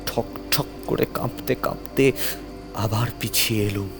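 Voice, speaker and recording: A man's voice: fairly low pitch, fairly even intonation, measured pace. A man narrating a scripted horror story in the first person, with a suspenseful, theatrical delivery. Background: music under the voice.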